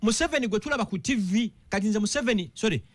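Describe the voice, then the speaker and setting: Fast-talking Ugandan man firing questions. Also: high-pitched. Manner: animated, fires rhetorical questions. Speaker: political commentator. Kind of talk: radio talk show discussion.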